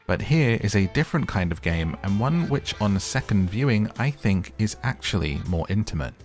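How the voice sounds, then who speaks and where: A man's voice: fairly low pitch, animated, normal speed, darkish tone, faint music behind. A man giving a casual, chatty recap commentary of a reality show, with wry asides. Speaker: host of a recap channel.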